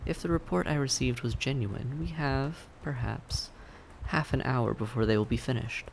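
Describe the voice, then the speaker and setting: A man playing a suave, polite vampire in an audio roleplay, courteous and measured, with a softly teasing menace. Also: medium pitch, gravelly, a little hiss or hum, close to an everyday voice. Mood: smug, menacing. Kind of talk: scripted audio roleplay acting, addressed to the listener.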